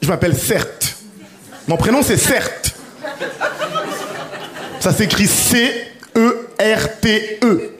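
A man's voice: high voice, expressive, slow pace, long pauses, a crowd in the background. A man in his thirties with a Paris-area French accent performing a self-deprecating stand-up routine straight to a live audience. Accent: French, Paris region. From Paris suburbs, France. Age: 30s. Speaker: stand-up comedian. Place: live comedy stage. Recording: live stage show with audience laughter. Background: laughing audience.

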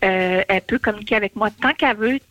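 A woman's voice: medium pitch, fast, fairly bright tone. A woman in her forties with a Québécois accent, talking at length as a caller on a radio show and often repeating words. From Quebec. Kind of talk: call-in conversation.

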